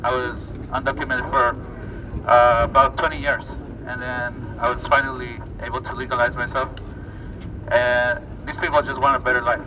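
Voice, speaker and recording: A man from Ecuador speaking haltingly and earnestly as he gives personal testimony at a rally. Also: medium pitch, little pitch movement, highs cut off. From born in Ecuador, moved as a child. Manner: hesitant, earnest. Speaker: protest speaker. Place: outdoors on a city street. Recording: distant noisy outdoor recording.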